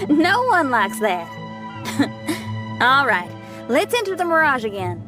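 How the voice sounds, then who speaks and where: A woman's voice: sits high, big pitch swings, takes it slowly, over music, heavily acted. A woman playing a friendly, teasing, easygoing young adventurer in a video game. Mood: amused. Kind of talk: video game character acting.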